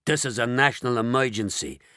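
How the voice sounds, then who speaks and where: A man voicing a gruff, bossy male President with a deep, rasping voice who barks orders in a storybook reading. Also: brisk, bright, forceful tone, no room sound, studio quality, close to an everyday voice.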